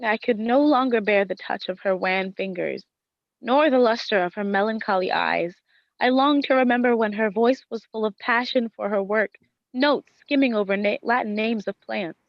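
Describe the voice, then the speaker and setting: An actress playing a devoted, worried wife in a gothic radio play, speaking in formal, literary phrasing. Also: female voice, on the high side, normal speed, vocal fry, no room sound, muffled recording. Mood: mournful. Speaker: actress in an audio drama. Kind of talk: dramatic first-person radio play narration and dialogue.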